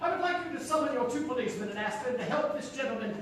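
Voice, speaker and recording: Pompous scheming celebrity in a stage comedy, bossy and mock-sweet, far off mic, faint noise. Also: male voice, extremely high pitch, animated, very fast, a bit bright, heavy reverb. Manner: grandiose, mock-sweet, commanding. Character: Sherry Whiteside, imperious, scheming, theatrical celebrity radio wit. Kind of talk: comic stage radio-play acting. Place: theatre stage before a live audience.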